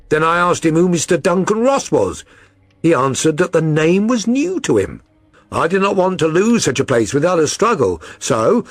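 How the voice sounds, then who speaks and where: An earnest, plodding tradesman of middle age, played by a male audiobook reader, rambles deferentially through his account. The voice is rough-edged and sits a bit high for a man, with huge melodic swings. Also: dry recording. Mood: aggrieved, bewildered. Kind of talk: audiobook character reading.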